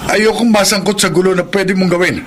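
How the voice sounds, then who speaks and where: Casting: male actor for meek, polite Filipino employee, high voice, radio drama, very noisy recording. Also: brisk, lightly acted. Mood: wary, curious. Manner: deferential, hesitant, expressive. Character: meek, polite male office worker with a hidden side.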